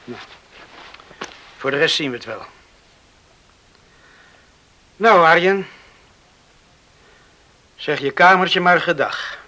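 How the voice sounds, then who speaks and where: A man's voice: on the high side, animated, slow pace, bright, forceful tone, full character voice, poor audio. An actor playing a restless young man in a Dutch radio play, stammering a little when challenged. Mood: musing. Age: young adult. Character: restless young man craving freedom, talks to his dog.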